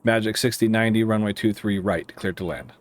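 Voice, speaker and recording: A man with an American accent giving casual, explanatory commentary over a simulator game, thinking aloud in a halting, rambling way. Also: on the low side, noisy background. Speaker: air traffic controller streaming a simulator game.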